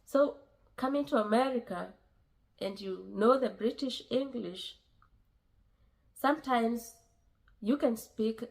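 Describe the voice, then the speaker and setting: A woman's voice: a little high, creaky, a little mellow, rough recording. Kenyan woman giving earnest advice. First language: a Kenyan language. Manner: earnest, repeats herself, many pauses and fillers. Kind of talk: casual advice vlog.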